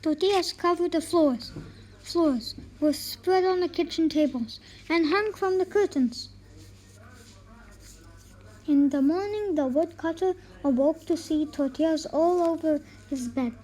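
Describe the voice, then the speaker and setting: A woman reading a children's folktale aloud, haltingly, stumbling over words and repeating them. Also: extremely high pitch, mellow, rough recording. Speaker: storybook reader.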